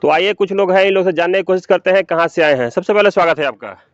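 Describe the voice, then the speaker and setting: Male reporter, slightly high voice. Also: fast talker, dry recording, highs cut off. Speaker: reporter interviewing on location. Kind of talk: interviewing with frequent short affirmations.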